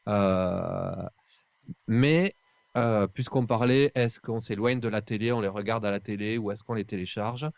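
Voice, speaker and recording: Male podcast talker, deep-ish and dryly recorded, informal and emphatic in delivery. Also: unhurried, creaky, smooth, no echo. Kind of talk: casual chat.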